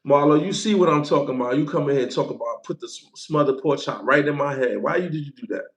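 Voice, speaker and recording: Gravelly man in his forties, echoey. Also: on the high side, quick pace. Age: 40s. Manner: loose, playful, repeats himself, thanks viewers for gifts. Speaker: livestream host. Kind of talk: casual livestream chat.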